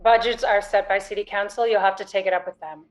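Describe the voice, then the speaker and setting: A woman's voice: mid-range voice, steady tone, fast, close to an everyday voice. A woman playing a firm, no-nonsense police chief in her fifties, giving official statements in a script read. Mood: firm, guarded. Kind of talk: screenplay table read, press briefing.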